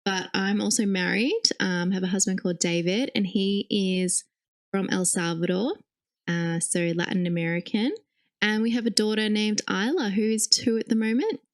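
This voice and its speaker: A woman's voice: medium pitch, steady tone, normal speed. Friendly woman co-host. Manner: friendly, laughs lightly. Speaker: podcast co-host. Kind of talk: casual chat.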